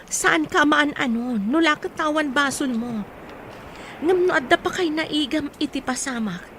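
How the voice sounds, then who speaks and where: A woman playing a high-voiced, insistent young Ilocano investigator. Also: unhurried, a bit bright, slight background noise. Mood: accusing, pressing. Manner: probing, insistent questioning. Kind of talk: Ilocano radio drama acting.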